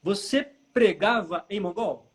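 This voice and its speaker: High-voiced chatty host. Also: male voice, expressive, a little slow. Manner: chatty, prompting, tag questions like "tá?". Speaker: interview host, former teacher. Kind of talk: live-stream interview questions.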